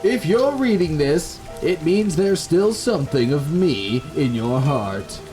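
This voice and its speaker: An eerie dead woman, read by a man beckons her lover with intense devotion. The delivery is slow and dramatic, in gothic horror visual novel style, with music clearly behind it. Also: fairly high pitch, expressive, warm tone, voice pushed up, poor audio. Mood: beckoning, intense. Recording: background music under the voice.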